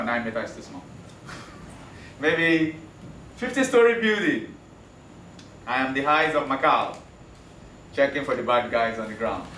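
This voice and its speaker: A man's voice: a little high, a bit bright, distant mic, slight background noise. A man whose first language is Yoruba, teaching a classroom math lesson with jokes, often checking that his students follow. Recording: roomy classroom recording from afar.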